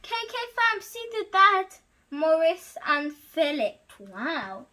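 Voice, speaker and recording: A female storytime host with a high, very lively voice. She reads aloud slowly and expressively, breaking off for excited asides to her audience. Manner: animated, exclaiming reactions.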